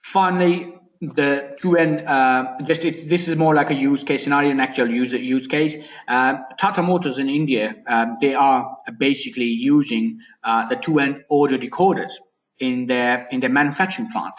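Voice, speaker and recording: A man giving an informal technical product presentation as a webinar presenter, explaining in a halting, repetitive way with frequent restarts. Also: medium pitch. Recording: dull, lo-fi webinar audio.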